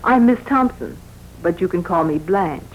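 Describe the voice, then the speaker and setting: A woman's voice: fairly low pitch, animated, normal speed, lightly acted, rough recording. Woman playing a lilting, kindly nurse. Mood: friendly, coaxing. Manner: gentle, coaxing. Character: kind, friendly female hospital nurse. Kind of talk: 1940s radio drama acting. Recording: faintly hissy 1940s radio recording.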